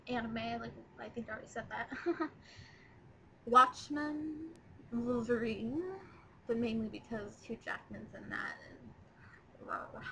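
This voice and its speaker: A woman's voice: a little high, bright, forceful tone, a little hiss or hum, rough recording. A nineteen-year-old woman chatting in a rambling, casual first video blog, recorded on her laptop's webcam mic. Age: 19, young adult. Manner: chatty, rambling, lots of ums. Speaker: vlogger.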